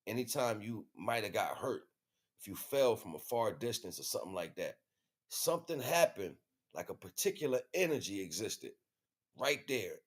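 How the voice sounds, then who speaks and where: Low-voiced American man, emphatic street-style talk. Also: big pitch swings, moderate pace. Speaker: livestream host. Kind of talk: informal spiritual monologue to viewers.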